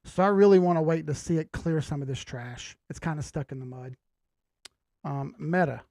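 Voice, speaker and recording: A man with a slightly high voice and a lot of fry in it. He talks like a livestream host, loose and slangy, thinking aloud as he goes. The sound is dry and close. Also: moderate pace, darkish tone, no echo. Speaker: host of a live market analysis stream. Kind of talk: casual live chart commentary.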